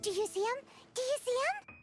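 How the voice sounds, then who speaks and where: Tiny excitable game fairy: extremely high, whiny, very animated delivery. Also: female voice, fairly quick, soft background music. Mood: eager. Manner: refers to self in third person, whiny. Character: tiny childlike floating fairy companion, excitable, easily nervous. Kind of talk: anime game voice acting.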